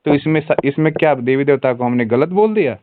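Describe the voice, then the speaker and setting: Insistent man, clear dry voice. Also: medium pitch, animated, fairly quick, dry recording. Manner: insistent, rhetorical questioning in repeated lists. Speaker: host taking phone calls. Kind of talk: heated argumentative phone debate. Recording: recorded phone call.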